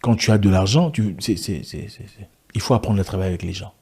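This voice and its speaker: Husky-voiced man speaking emphatically. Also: on the low side, fast, mellow. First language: not French; an African language. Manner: emphatic, rhetorical questions, frequent restarts. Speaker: online video commentator. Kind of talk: impassioned monologue to camera.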